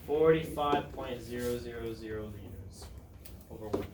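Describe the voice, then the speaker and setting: A man in his thirties teaching a chemistry review class, talking in a dry, fairly monotone way and quizzing his students as he works through problems. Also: mid-range voice, normal speed, noisy background. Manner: dry, self-described monotone, asks students questions. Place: high school classroom. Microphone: far camera at the back of the room. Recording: roomy classroom sound from a distance with students around.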